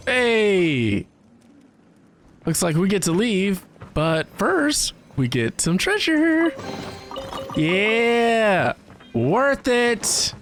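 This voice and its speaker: Animated woman reacting to a game. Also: mid-range voice, extremely slow, long pauses. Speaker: video game streamer. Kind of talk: casual gaming reaction commentary. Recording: game music behind the voice.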